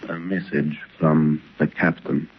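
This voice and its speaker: Deep-voiced suave foreign henchman. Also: flat delivery, slow pace, slightly rough, heavily acted. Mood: businesslike. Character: suave, calculating male foreign smuggler henchman. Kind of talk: 1930s radio drama acting. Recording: muffled, noisy 1930s radio recording.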